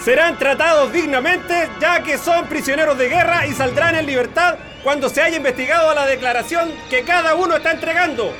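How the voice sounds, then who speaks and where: Stern army officer, very high raised voice, official and declamatory, radio play with loud music underneath. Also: male voice, brisk, few pauses. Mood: commanding, formal. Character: stern military officer addressing prisoners. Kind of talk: radio drama acting, formal announcement.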